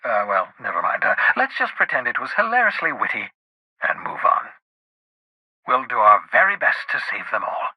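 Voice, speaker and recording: An actor playing a cantankerous, socially awkward scientist in a video game, raspy, with a fussy, wordy, theatrical delivery. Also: male voice, mid-range voice, huge pitch swings, normal speed, dry recording, lo-fi sound, exaggerated voice. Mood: sheepish. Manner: fussy, wordy, dramatic, with muttered asides.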